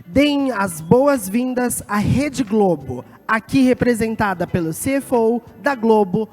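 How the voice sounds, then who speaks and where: Slow-paced Brazilian woman hosting on stage. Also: fairly high pitch, animated, a little mellow. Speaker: event host announcing speakers. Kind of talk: formal stage introduction. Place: stage at a live event. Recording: music behind, long hall reverb.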